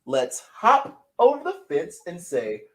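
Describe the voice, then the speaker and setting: A man voicing a sick, stuffy-nosed little horsefly who is gentle and sweet, reading a children's picture book aloud in a hoarse, sniffly voice. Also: high voice, wildly swooping pitch, slow, full character voice. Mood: singsong.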